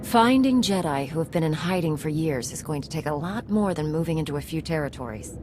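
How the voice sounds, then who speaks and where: A woman's voice: deep voice, lively intonation, brisk, noisy background. A woman playing a cool-headed, authoritative commander in a game cutscene, dry, firm and matter-of-fact. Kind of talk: video game cutscene acting.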